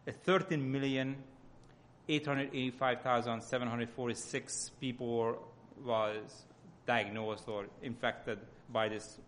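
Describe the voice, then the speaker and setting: A man with a Hungarian accent gives an informal sermon as a lay church speaker, often halting as he searches for words. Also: medium pitch, fairly even intonation, a bit bright, slight background noise.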